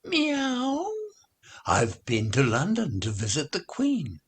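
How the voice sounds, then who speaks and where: A man's voice: on the high side, huge pitch swings, slow, a bit bright, breathy edge, pitched above the performer's own voice, full character voice. A man voicing a small, playful pussycat from a nursery rhyme, mewing and proudly telling of its adventures.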